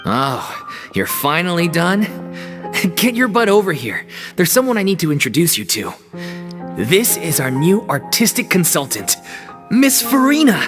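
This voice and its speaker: A man's voice: high-pitched, wildly swooping pitch, a little slow, full character voice. An actor playing a young male troupe actor, an orphan who is loyal to his troupe, in a game cutscene. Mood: easygoing. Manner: easygoing, then quietly somber. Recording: game mix with faint music.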